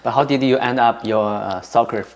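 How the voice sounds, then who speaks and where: A man's voice: medium pitch, normal speed, muffled recording. A male interviewer asking curious questions, with short reactions.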